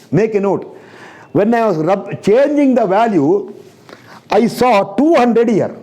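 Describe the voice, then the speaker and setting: A man's voice: high voice, warm tone. A man from India with an Indian English accent, teaching engineering in a blunt, repetitive lecture style that drills students with rhetorical questions. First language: not English. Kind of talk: recorded classroom lecture.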